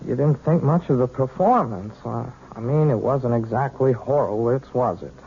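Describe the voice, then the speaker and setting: An actor playing a moody, self-deprecating, lovesick young piano student in a radio drama, halting and earnest. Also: male voice, medium pitch, dark tone, lo-fi sound, a little hiss or hum, close to an everyday voice. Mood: sheepish. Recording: thin, band-limited old radio recording.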